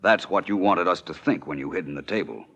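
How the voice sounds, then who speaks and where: An actor playing a confident, commanding amateur detective in 1940s radio drama style, assured and deliberate. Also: male voice, low-pitched, fairly bright tone, husky, dull audio, exaggerated voice. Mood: assured, accusing.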